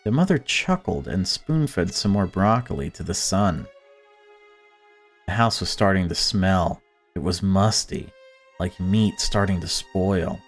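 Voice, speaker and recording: A man with a fairly low voice narrates a visual novel. He moves between reading the story and casual let's-play commentary. Faint music plays behind him. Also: animated, a little slow. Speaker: let's player.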